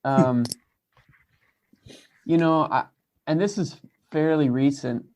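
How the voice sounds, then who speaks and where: A man giving sincere, casual advice as a podcast guest, reflective and measured in how he talks. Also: on the high side, a little mellow. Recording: slightly dull, lo-fi audio.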